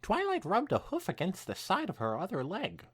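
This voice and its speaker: A man's voice: sits high, wildly swooping pitch, normal speed, a bit bright, husky. A man co-hosting a podcast, narrating a story aloud and breaking into casual banter. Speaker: podcast co-host.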